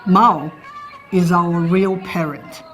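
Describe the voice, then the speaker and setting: Woman, Chinese accent, slow, halting, earnest, light music bed. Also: lowish voice, steady tone, a bit bright. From China. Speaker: interviewee.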